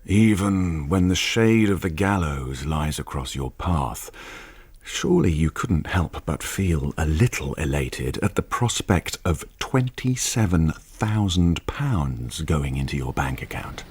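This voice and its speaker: A man's voice: rumbling low, lively intonation, slow pace, a little mellow, breathy edge, no echo, exaggerated voice. An actor playing a sly, knowing male narrator of a crime tale, wry and ominous in dramatic scripted storytelling.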